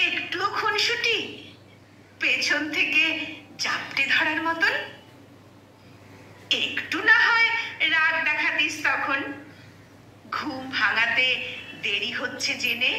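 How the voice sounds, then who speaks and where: A woman reciting a poem expressively, with dramatic, wistful phrasing and frequent pauses. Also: high-pitched, bright, forceful tone. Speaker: poetry reciter. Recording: echoey room, distant mic, faint noise.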